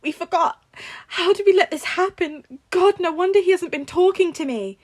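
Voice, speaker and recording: Woman acting a distraught, high-pitched guilty aunt. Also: moderate pace, no room sound. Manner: emotional, tearful, self-blaming. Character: distraught, guilt-ridden aunt of a teenage boy.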